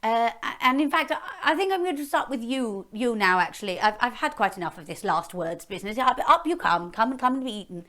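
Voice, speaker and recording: A woman's voice: on the high side, animated, brisk, few pauses, pushed and bright. A woman voicing a huge male dragon in a told story. He is greedy, polite and chatty, and he stammers and repeats himself. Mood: smug.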